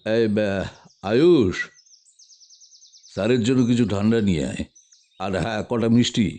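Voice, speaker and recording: An actor playing a grave, commanding older army major with a measured, authoritative manner in an audio story. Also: male voice, on the low side, dark tone, lightly acted.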